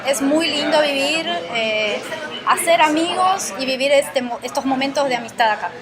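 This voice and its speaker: A Brazilian-accented woman talks casually as an interview guest. Her voice is high and her storytelling animated. A crowd chatters behind her in a busy indoor public space. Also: normal speed. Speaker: interview guest, Portuguese teacher. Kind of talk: casual chat with anecdotes.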